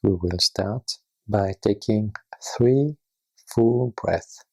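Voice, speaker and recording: A meditation guide narrating a guided practice in a gentle, soothing and unhurried manner, with a husky, breathy, creaky voice. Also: medium pitch, rough recording.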